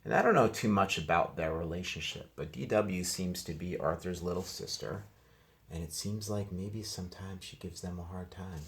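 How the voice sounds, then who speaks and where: Very low-voiced man teaching young children. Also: normal speed, fairly bright tone. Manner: explains pictures and words simply for young children. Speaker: teacher reading aloud to first grade class. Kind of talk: children's picture book read-aloud with casual commentary.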